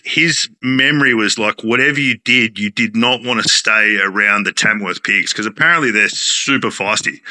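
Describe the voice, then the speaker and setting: A man's voice: medium pitch, sharp tone, low-quality recording. A man, a chef talking casually as a guest, rambling with many fillers and restarts. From Australia. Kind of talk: casual interview talk.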